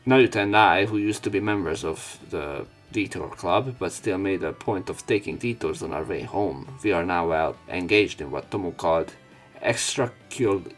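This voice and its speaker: A man's voice: low voice, animated, moderate pace, faint music behind. A man doing let's play commentary, reading visual novel narration aloud with opinionated asides. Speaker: let's player.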